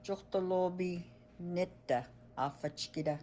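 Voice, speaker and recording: A speaker on a played-back recording, slowly pronouncing words one by one for learners. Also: mellow, faint music behind. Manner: slow, deliberate, low-pitched word list. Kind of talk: slow word-by-word pronunciation recording. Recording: played-back recording, faint ambient noise.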